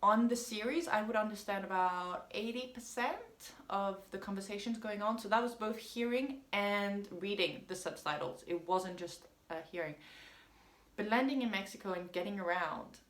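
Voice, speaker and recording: A woman's voice: mid-range voice, moderate pace, a bit bright. A woman whose first language is French, telling a casual personal story in a rambling, chatty way with frequent ums. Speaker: vlogger. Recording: roomy echo.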